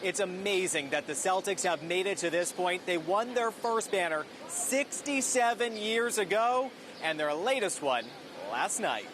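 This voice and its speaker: A man's voice: high voice, animated, unhurried, few pauses. A man reporting live for TV news from outdoors in a city, in a stand-up delivery. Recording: clear outdoor street noise.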